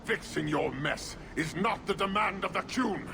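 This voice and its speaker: An actor playing a stern, proud giant military commander in a video game, speaking in a grave, formal, declamatory way. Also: male voice, sits high, animated, normal speed, gravelly, noisy background. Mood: rising anger.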